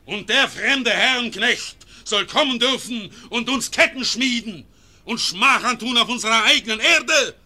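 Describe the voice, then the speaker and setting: Male actor as a fervent mountain leader in a radio play; bright, piercing, declamatory. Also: very high voice, a little slow, full character voice. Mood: rousing. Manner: declamatory, rising to fervent oratory. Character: stern male confederate leader, rousing orator. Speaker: Swiss confederate leader addressing the assembly. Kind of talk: classic German radio play acting.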